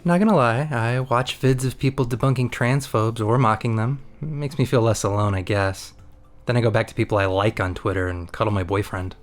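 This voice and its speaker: A man's voice: medium pitch, brisk. A man reading an informal first-person testimony aloud as a guest contributor. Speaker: guest reader of a written community response.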